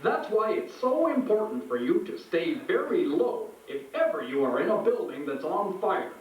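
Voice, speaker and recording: A man voicing a friendly, expressive puppet teacher who instructs children in a scripted show. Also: high-pitched, moderate pace. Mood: cheerful, instructive. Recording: echoey room, distant, faint noise.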